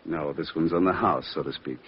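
Very deep, menacing crooked lawyer. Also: male voice, fairly quick, slightly rough, exaggerated voice. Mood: cold menace. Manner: formal, menacing, precise. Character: cold, smug crooked lawyer turned killer. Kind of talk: 1940s-50s radio detective drama acting. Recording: dull old-time radio recording.

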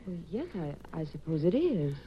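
Anxious secretary, muffled vintage recording. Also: female voice, sits low, very animated, moderate pace. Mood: polite, uneasy. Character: distraught young female doctor's receptionist, anxious and polite. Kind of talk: 1950s radio drama acting. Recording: dull, hissy vintage 1950s radio recording.

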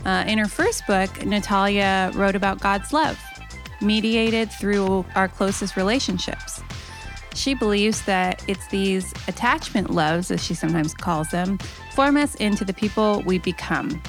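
A woman's voice: mid-range voice, a little slow, faint music behind. A woman host introducing a guest in a friendly, explanatory scripted segment. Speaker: podcast host.

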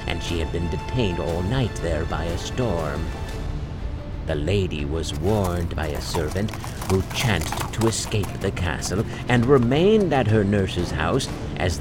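A man narrating a scripted fairy tale as storyteller, dramatic and measured. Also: deep voice.

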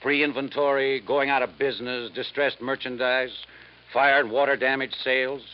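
A male actor plays a businesslike insurance man in a 1950s radio drama. He explains things briskly and plainly, a little defensively, at a medium pitch. The recording is dull and vintage. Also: flat delivery, lightly acted. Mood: matter-of-fact. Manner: brisk, matter-of-fact, explanatory.

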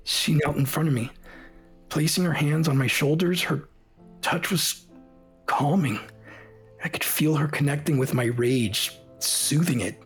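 A grown son played by a man tells of his past in an audio drama, in a fairly high male voice with faint music behind. His telling is measured and full of feeling. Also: slightly rough. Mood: reflective. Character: grieving adult son recounting a formative childhood memory.

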